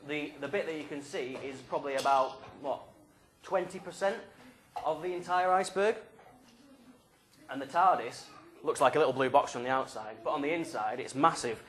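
A man's voice: mid-range voice, normal speed, fairly bright tone. A young man leading a youth group in a small church hall, speaking into a handheld mic in a playful, joking, game-show manner. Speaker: youth leader. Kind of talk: lively talk to a youth group. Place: small church hall with stage and drum kit.

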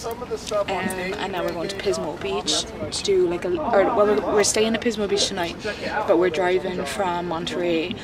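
A woman's voice: on the low side, steady tone, normal speed, a loud crowd, poor audio. A young woman in her twenties chatting casually to a handheld camera on a road trip, swearing freely and poking fun at herself. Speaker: vlogger. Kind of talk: casual travel vlog. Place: outdoors on a coastal mountain road trip. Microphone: handheld camera at arm's length.

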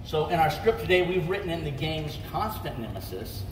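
A man's voice: fairly high pitch, sing-song, moderate pace. A man presenting a live stage event, heard from far off in a big echoey hall. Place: large convention stage, recorded from audience. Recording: distant echoey hall, crowd and noise.

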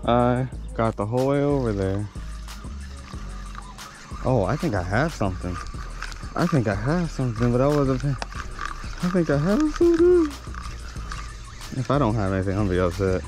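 A young man vlogging a fishing trip from a rocky lakeshore, chatting excitedly to a body-worn camera and reacting loudly to bites. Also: medium pitch, normal speed, long pauses, over music, rough recording. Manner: excitable, swears mildly, narrates while fishing. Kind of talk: casual vlog commentary.

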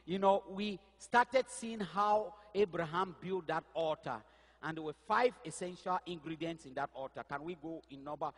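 A man in his fifties whose first language is not English, preaching a sermon on a church stage with a headset mic, animated and gesturing as he searches aloud for words. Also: fairly high pitch, long reverb tail. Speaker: pastor. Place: stage of a large church hall.

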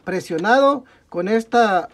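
A man's voice: sits high, expressive, slow pace, a bit bright. Young Mexican man, tech tutorial host, narrates hands-on steps with self-corrections, bench mic sound. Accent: Mexican Spanish. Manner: talks through each step while working, frequent "ok, amigos" and self-corrections. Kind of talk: step-by-step repair tutorial. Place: indoor workbench at a desk.

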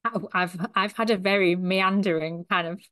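A chatty Yorkshire woman in her thirties, talking with her hands as she tells her story. Her voice sits a touch low. She sounds like she is on a video call from a small home office, wearing headphones. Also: fairly even intonation, normal speed, bright, forceful tone. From Sheffield, South Yorkshire, England. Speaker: guest, human design coach. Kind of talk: casual interview chat.

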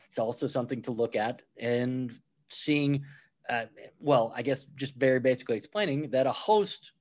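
A man at a middle pitch, talking as a podcast guest about running restaurant teams. He lays out practical advice step by step and acts out what staff and managers might say. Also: a little mellow. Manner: explains with worked examples, acts out quoted replies. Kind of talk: conversational podcast interview.

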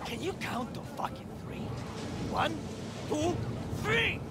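Volatile gang boss, wildly swinging pitch. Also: male voice, sing-song, takes it slowly, full character voice. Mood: hostile, taunting. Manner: aggressive, taunting, profane. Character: foul-mouthed hot-headed male street gang boss. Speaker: gang leader character. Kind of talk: video game voice acting. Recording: music behind.

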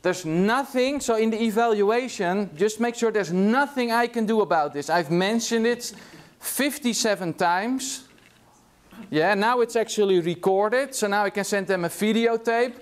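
A middle-aged Dutch man lecturing in a big university hall, his voice sitting noticeably high for a man. He speaks English with a Dutch accent in a loose, chatty way, often tossing a quick "yeah?" to the students. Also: clear, resonant tone. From Netherlands. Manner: casual, chatty, tags remarks with "yeah?". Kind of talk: classroom lecture.

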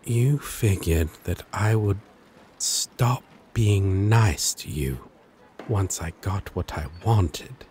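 A male actor voices a lovesick demon in an intimate ASMR roleplay. His low voice moves very slowly and hesitantly, yet swings expressively as he flirts and puts himself down. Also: lively intonation, darkish tone, slight background noise. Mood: exasperated, lovestruck. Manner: halting, hesitant, with long pauses.

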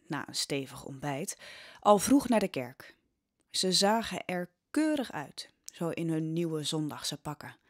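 A woman narrating a historical audio drama as scripted, dramatic storytelling. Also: low voice.

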